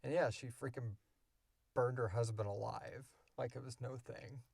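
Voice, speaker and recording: A man's voice: mid-range voice, steady tone, normal speed, creaky, a little mellow, slightly rough, no room sound. A man hosting a podcast, answering questions in casual, unscripted talk from a small booth. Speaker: podcast host and creator. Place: small enclosed recording booth.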